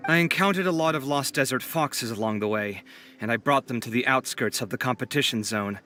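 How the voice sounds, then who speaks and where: A man's voice: mid-range voice, fairly quick, lightly acted. An actor voicing an earnest, easily flustered young male architect. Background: soft game music.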